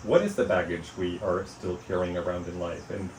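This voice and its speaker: A man being interviewed about his book, speaking in a thoughtful, measured way with frequent "you know" and "um". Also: low-pitched, fairly even intonation, a little mellow. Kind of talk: reflective interview conversation. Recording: echoey room, mic at a distance, faint noise.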